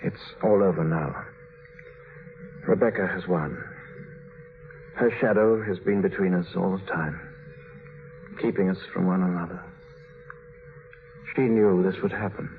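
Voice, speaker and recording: A man's voice: low-pitched, measured pace, long pauses, narrow, tinny audio. A man playing a tormented, guilt-burdened husband in a 1950s radio drama, grave and bitter in delivery. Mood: grim, resigned. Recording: thin, faintly noisy vintage radio recording.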